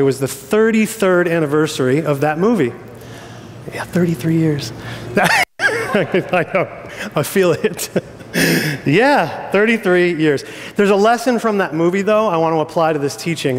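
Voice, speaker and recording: A man's voice: fairly high pitch, big pitch swings, moderate pace. A middle-aged American man preaching a conversational, informal sermon with joking asides and frequent check-ins with listeners. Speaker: pastor. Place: on stage in a church auditorium. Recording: reverberant hall sound.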